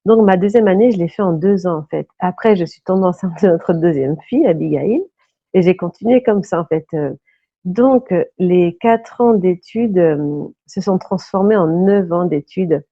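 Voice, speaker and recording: A woman's voice: on the low side, moderate pace, no room sound. A woman in her thirties from Brittany talks through her story as an interview guest. She sounds earnest and reflective and stops often to search for the right word. The sound is dull and lo-fi, with a dry, close feel. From Brittany, France. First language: French. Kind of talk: casual interview talk.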